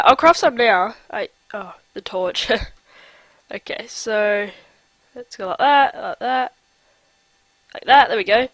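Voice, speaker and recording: A man's voice: very high-pitched, fairly bright tone, no echo. Nasal young man chatting over gameplay. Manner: chatty, rambling with fillers. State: nasal from a cold. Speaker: let's play host. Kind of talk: casual gameplay commentary.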